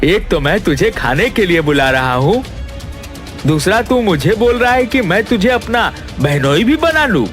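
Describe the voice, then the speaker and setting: A woman's voice: mid-range voice, sing-song, unhurried, faint music behind, pitched below the performer's own voice. A woman voicing a bewildered, plain-spoken village man in a cartoon, puzzled and protesting. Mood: baffled. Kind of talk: Hindi cartoon dialogue.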